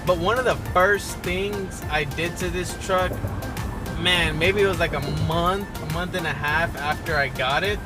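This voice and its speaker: A man giving a casual vlog-style truck review, rambling and stumbling over his words with some stuttering. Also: on the high side. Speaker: truck vlogger.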